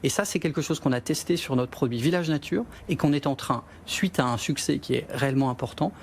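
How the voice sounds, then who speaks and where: A man, a company executive, answering interview questions in a measured way with frequent hesitations. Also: medium pitch, vocal fry, slight background noise.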